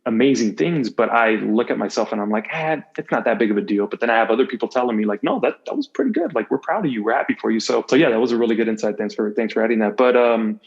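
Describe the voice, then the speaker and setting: A man's voice: medium pitch, animated, fast talker, creaky, hardly any pauses, muffled recording. A man speaking candidly as an interview guest, telling personal stories conversationally, repeating phrases for emphasis and voicing quotes. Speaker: podcast guest, former athlete and coach.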